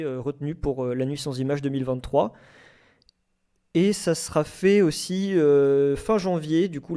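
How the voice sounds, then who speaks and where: A man hosting a casual live podcast debate, speaking in hesitant, halting phrases. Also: medium pitch, warm tone, clean tone. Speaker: podcast host.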